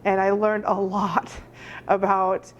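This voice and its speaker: A woman's voice: mid-range voice, level tone, slow. A woman from Germany, a shop owner, answering interview questions in a chatty way with lots of fillers and laughs. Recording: dull audio with faint noise.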